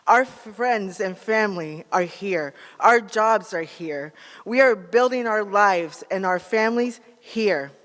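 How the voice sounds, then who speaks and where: A man with an American accent giving a prepared advocacy speech in an earnest, measured manner. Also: high-pitched, a bit bright, big-room sound. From United States, born in Peru. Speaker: national organizer, advocate.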